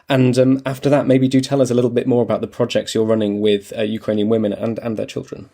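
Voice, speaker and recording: A man's voice: mid-range voice, level tone, fast, dark tone. A man hosting a podcast, asking long questions in a hesitant way, repeating words as he goes. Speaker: podcast host. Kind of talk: interview questions.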